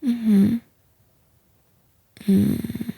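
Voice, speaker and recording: Woman playing a caring female boss, slow-paced, slightly creaky, earnest, hesitant pauses, audio roleplay. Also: fairly high pitch, level tone, warm tone, heavily acted. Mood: reassuring, earnest. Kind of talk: intimate audio roleplay acting.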